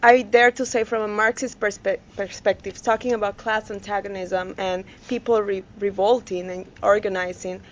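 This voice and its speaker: A woman student from Peru, speaking, asks her question haltingly and gropes for words. Her voice sits at a middling pitch over a faint hiss. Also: steady tone, low-quality recording.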